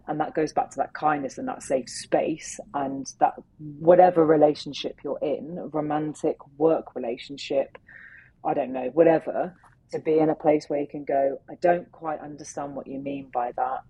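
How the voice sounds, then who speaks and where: A woman with a low, gravelly voice and a dark, husky tone. She answers interview questions in an earnest, thoughtful way, letting her sentences run on as she works through her ideas. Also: moderate pace, low-quality recording. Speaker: interview guest, facilitator. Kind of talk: reflective conversational interview answers.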